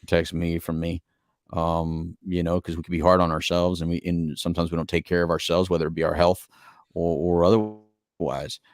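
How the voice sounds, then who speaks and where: Low-voiced middle-aged American man, dry sound. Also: little pitch movement, a little fast, no echo. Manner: earnest, rambling, repeats phrases for emphasis. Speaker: podcast co-host. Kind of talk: casual reflective relationship talk.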